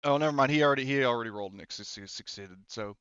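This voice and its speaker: A man's voice: medium pitch, rapid-fire, fairly bright tone, clean tone, dry recording, highs cut off. A man acting as game master of a casual tabletop game, explaining rules and thinking aloud as he goes.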